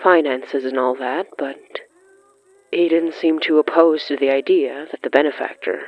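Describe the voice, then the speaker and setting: A narrator reading scripted horror fiction in the third person, brooding and dramatic, with lingering pauses. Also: mid-range voice, fairly even intonation. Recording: muffled lo-fi sound with music underneath. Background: music.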